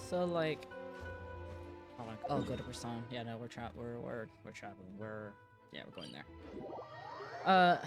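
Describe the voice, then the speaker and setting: A woman streamer giving casual, sweary reaction commentary and reading game text aloud, talking to the characters. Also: a bass voice. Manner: expressive, sweary, talks to the characters. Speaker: gaming streamer.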